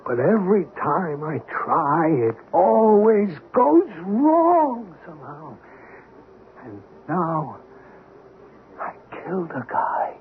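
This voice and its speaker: A man playing a remorseful, distraught small-time crook, halting and earnest, in a radio drama. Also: high-pitched, big pitch swings, full character voice. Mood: anguished. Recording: dull, noisy old radio broadcast.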